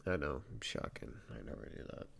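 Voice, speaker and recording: A man playing a shy young best friend in an ASMR boyfriend roleplay, speaking softly and haltingly with stammers, in a very deep, gravelly voice. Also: animated, a little hiss or hum, exaggerated voice. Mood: flustered, shy. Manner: hesitant, halting, stammering, soft-spoken.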